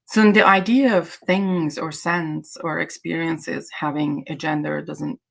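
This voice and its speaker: Creaky low-voiced woman, native Azeri speaker. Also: steady tone, unhurried, fairly bright tone. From Iran. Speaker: livestream host. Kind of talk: casual livestream chat.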